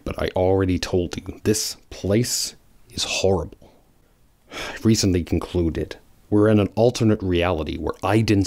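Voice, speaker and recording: Grim brooding hedgehog antihero, played by a man, low voice, terse. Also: darkish tone, close to an everyday voice.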